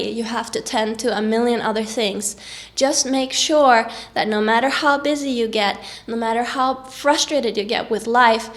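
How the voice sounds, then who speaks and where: A woman with a cold, giving encouraging tips to camera in a casual vlog. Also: a little high. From Norway. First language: Norwegian. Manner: encouraging, upbeat. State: has a cold. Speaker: vlogger.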